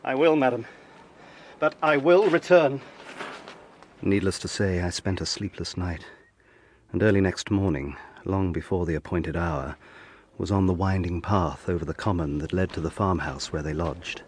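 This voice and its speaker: Earnest low-voiced young gentleman. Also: moderate pace, slight background noise. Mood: insistent. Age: young adult. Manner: formal, earnest, insistent. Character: earnest, persistent young gentleman lawyer in love. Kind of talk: British period audio drama, narration and dialogue.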